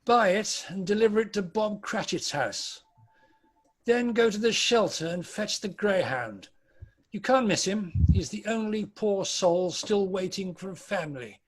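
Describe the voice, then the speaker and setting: A man's voice: sits high, animated, measured pace, breathy edge, heavily acted. A British man playing an anguished, repentant old miser in a theatrical, pleading dramatic table read. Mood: joyful.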